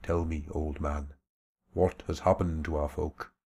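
A man voicing a calm male warrior who speaks quietly and with measured menace. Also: rumbling low, slightly rough, exaggerated voice. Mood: solemn.

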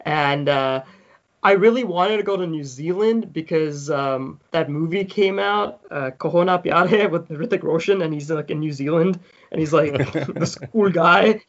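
A man's voice: on the high side, a little slow, lo-fi sound. A man in his thirties, originally from South Africa, telling stories as a podcast guest, laughing often and acting out the people he quotes. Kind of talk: casual storytelling.

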